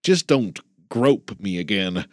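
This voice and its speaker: A man's voice: on the low side, lively intonation, slow, dry recording, studio quality. A man voicing a grumpy old male janitor who talks bluntly in folksy slang. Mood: gruff.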